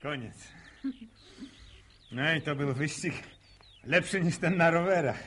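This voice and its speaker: An actor playing a shy, hard-working village farmer in a radio drama, plain-spoken and halting, with speech. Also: male voice, fairly high pitch, a bit bright, a little hiss or hum. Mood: flustered, hearty. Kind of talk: naturalistic radio drama acting.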